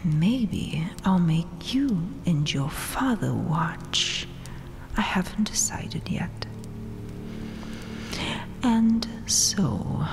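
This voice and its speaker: A woman voicing a vengeful, coldly dominant vampire queen in a breathy, mocking, regal whisper for an ASMR roleplay. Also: medium pitch, noisy background, full character voice. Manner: slow, deliberate, mocking, regal.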